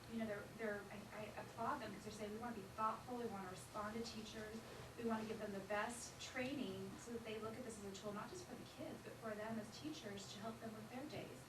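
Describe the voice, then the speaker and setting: A woman's voice: medium pitch, steady tone, quick pace. A woman giving an informal answer as a participant in a seminar. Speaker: seminar participant working with school districts. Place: seminar room, off camera. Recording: echoey room, distant mic, clear noise.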